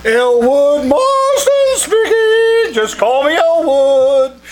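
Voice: high voice, sing-song, very slow, a little hiss or hum, heavily acted. An actor playing a fussy, stubborn male rental agent in old-time radio comedy, whiny and put-upon. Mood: announcing.

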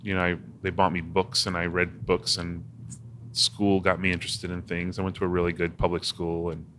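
A man's voice: low-pitched, monotone, moderate pace, pushed and bright, slight background noise. A man from Massachusetts, a guest in an interview, talking casually and rambling with many restarts and fillers. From Massachusetts, USA. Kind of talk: casual conversation.

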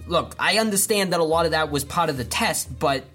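A player in a tabletop roleplay, talking excitably in long rambling runs. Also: medium pitch, fairly quick, faint music behind.

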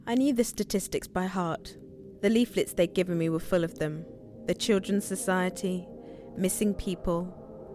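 A woman's voice: medium pitch, lightly acted. An actress playing a reflective, searching sister in an audio drama monologue, telling her story introspectively.

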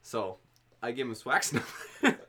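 A man's voice: medium pitch, very animated, measured pace, a bit bright, husky. A man co-hosting a casual podcast, chatting in a laid-back way with some chuckles. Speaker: podcast co-host. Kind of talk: casual chat.

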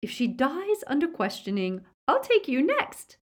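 A woman voicing an unhinged, imperious villainous witch in an audiobook, sharp and commanding. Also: sits high, huge pitch swings, a little slow, pitched above the performer's own voice, heavily acted. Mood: cruel, commanding.

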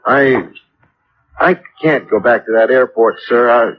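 An actor playing a distraught male ground-crew mechanic in old radio drama style, defensive, halting and stammering. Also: medium pitch, close to an everyday voice. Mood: shaken, remorseful. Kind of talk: 1950s radio drama acting. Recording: dull, hissy old radio recording.

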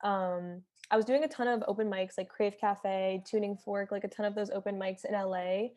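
A woman's voice: mid-range voice, steady tone, normal speed, a little mellow, a little hiss or hum. Casting: young woman, singer guest, chatty and filler-heavy. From Philadelphia. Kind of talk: casual interview chat.

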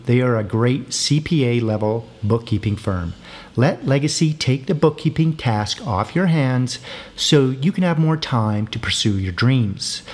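Podcast host man with a midrange voice. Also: mid-range voice. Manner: scripted and even when reading, plain and direct when asking. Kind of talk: reading ad copy and guest intro, then interviewing.

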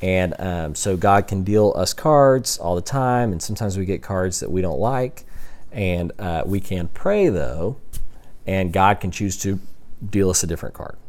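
A man's voice: low-pitched, expressive, measured pace, darkish tone. A young man teaching a class, talking casually, thinking aloud with restarts and questions to the students. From Texas. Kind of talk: classroom lecture.

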